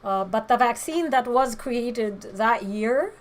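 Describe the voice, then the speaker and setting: A woman with a hoarse voice, chatting frankly with viewers during a livestream and giving her opinions with many pauses. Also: on the high side, animated. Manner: frank, opinionated, hesitant fillers. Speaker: livestream host. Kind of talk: casual chat answering viewer comments.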